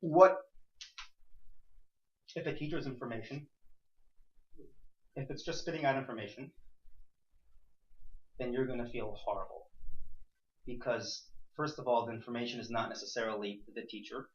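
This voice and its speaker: A man's voice: mid-range voice, fairly even intonation, normal speed, pauses often. A man giving an interactive class to a small group, asking rhetorical questions and repeating and rephrasing himself. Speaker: teacher leading a class. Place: indoor room with a few students. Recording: echoey room, dull muffled sound.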